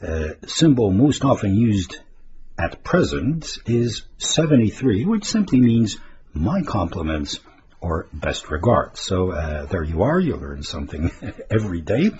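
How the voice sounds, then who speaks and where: A man's voice: deep voice, big pitch swings, unhurried, a little mellow. A man presenting radio listener mail in a chatty, hesitant, meandering way, adding asides as he reads. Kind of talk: informal listener-mail segment, reading and commenting. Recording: dull lo-fi radio recording, faint noise.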